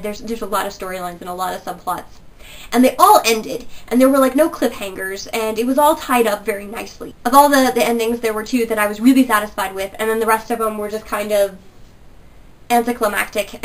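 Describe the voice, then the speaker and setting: A woman's voice: on the high side, distant mic, low-quality recording. A young woman in her twenties with a stuffy nose from a cold, chatting enthusiastically through a book review in a small bedroom. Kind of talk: casual video book review. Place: small bedroom with posters, indoors.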